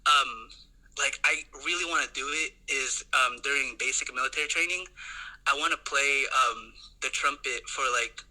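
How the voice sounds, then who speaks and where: A man's voice: medium pitch, moderate pace, bright, forceful tone, rough recording. A young man from the Philippines, a podcast guest, chatting enthusiastically and rambling with many fillers and restarts. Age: young adult. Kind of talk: casual chat.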